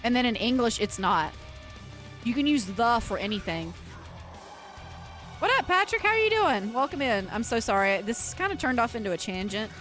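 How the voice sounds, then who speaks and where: A woman's voice: a little high, very animated, moderate pace, fairly bright tone, soft background music. A woman livestreamer chatting casually and earnestly with her viewers, rambling and often restarting her sentences. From United States. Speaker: livestreamer and metal vocalist.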